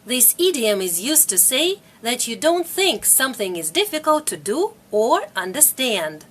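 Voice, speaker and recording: English teacher lady, middle-aged, bouncy sing-song voice, talks slow and repeats stuff. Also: fairly high pitch, sing-song, a bit bright. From Russia. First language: Russian. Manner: repeats each phrase clearly for learners. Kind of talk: slow, careful video lesson.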